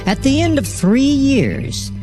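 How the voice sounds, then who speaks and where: A man's voice: very high voice, very animated, slow. A man giving a dramatized King James Bible reading in a solemn, declamatory and emphatic manner. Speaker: scripture narrator. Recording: music behind the reading. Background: background music.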